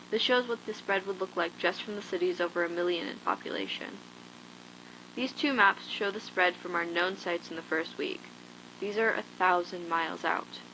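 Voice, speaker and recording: A woman's voice: medium pitch, bright, forceful tone, slight background noise, close to an everyday voice, low-quality recording. A woman playing a hardened, blunt zombie-apocalypse survivor, delivering a scripted survival log in an instructive, matter-of-fact way.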